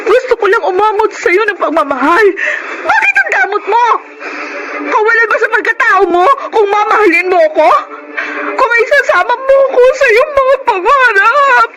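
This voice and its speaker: A woman's voice: very high-pitched, expressive, normal speed, heavy background noise. An actress with a Filipino accent playing a needy, heartbroken young woman in a radio drama, tearful and pleading. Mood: desperate, tearful. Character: anguished, needy, heartbroken young woman confessing her life. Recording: loud music bed behind the voice.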